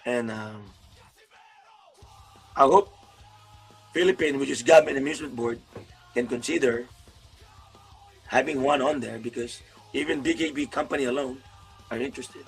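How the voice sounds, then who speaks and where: A man's voice: medium pitch, moderate pace, vocal fry, long pauses, slightly rough, shouting, faint music behind, poor audio. A man hosting a casual interview over a video call, friendly and rambling, laughing often. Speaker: YouTube host, interviewer.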